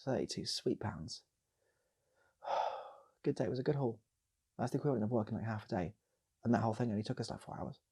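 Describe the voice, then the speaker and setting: Husky, creaky-voiced British man rambling. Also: fairly low pitch, fairly quick, long pauses, warm tone. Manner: rambling, repeats phrases. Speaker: YouTube channel host. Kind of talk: casual improvised comic storytelling.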